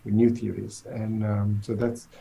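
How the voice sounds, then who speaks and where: Italian accent, middle-aged man, gravelly, mellow tone, faint noise behind, thoughtful restarts. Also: on the low side, level tone, a little slow. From southern Italy. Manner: thoughtful, with many restarts and fillers. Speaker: theoretical physicist guest. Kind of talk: casual interview answers.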